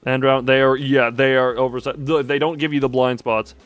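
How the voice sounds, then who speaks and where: A man's voice: mid-range voice, level tone, brisk, clean tone, no room sound, faint music behind. A man livestreaming a game, chatting casually and jokingly with his chat in a rambling way.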